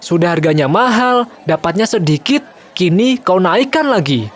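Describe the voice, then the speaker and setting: Man as a plaintive, lively townsperson. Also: high voice, normal speed. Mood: indignant. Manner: plaintive, exasperated. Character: weary, complaining townsperson short of water. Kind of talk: animated children's story acting.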